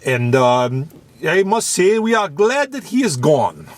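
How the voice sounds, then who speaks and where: A man's voice: a little high, big pitch swings, voice pushed up. A man putting on a polite lion from Zimbabwe. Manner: slow, formal phrasing. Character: male African lion, calm and polite.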